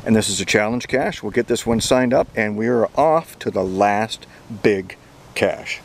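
A man's voice: on the low side, normal speed, a little hiss or hum. A man in his forties from Canada, chatting upbeat on a geocaching vlog outdoors, filmed on a handheld camera at arm's length. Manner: chatty, upbeat, laughs often. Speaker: geocaching vlogger. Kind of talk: casual outdoor vlog commentary. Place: outdoors on a bridge and wooded trails in summer.

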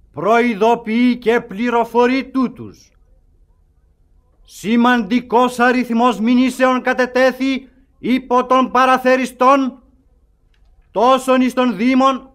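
An actor playing a pompous town crier who declaims an official proclamation in a radio comedy, halting over long words. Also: male voice, very high voice, a bit bright.

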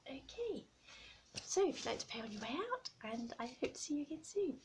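Soft-spoken woman doing barber ASMR. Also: high voice, big pitch swings, brisk, noisy background. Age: middle-aged. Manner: soft-spoken, slips between quiet talk and whispers; chatty, reassuring personal attention. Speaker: ASMR creator playing a barber. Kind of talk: ASMR roleplay. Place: small indoor room with plain wall.

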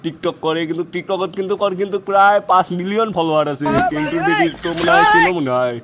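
A man's voice: high-pitched, expressive, quick pace, heavy background noise. A man with a Bogra accent from northern Bangladesh voicing a wheedling, money-minded village matchmaker in a comic cartoon, explaining and bargaining repetitively. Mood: persuading, bargaining. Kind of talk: comic dialect cartoon voice acting.

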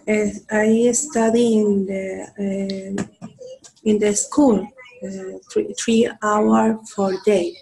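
A woman's voice: mid-range voice, level tone, extremely slow, mellow, rough recording. Hesitant Spanish-speaking woman learning English. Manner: hesitant, full of fillers, simple broken English. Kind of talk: halting conversation practice on a video call. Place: home room. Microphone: video call with earphones.

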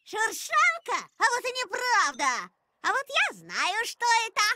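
An actor voicing a small, playful, childlike cartoon animal with a drawn-out, emphatic delivery. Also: extremely high pitch, huge pitch swings, heavy background noise, full character voice. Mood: cheeky. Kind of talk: children's musical cartoon dialogue.

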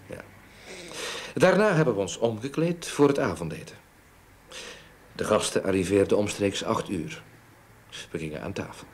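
A genteel middle-aged businessman in an old radio play. His deep-set voice swings widely in melody as he hesitantly recalls the past with melancholy. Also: slight background noise. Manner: hesitant, reminiscing. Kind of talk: old radio drama acting.

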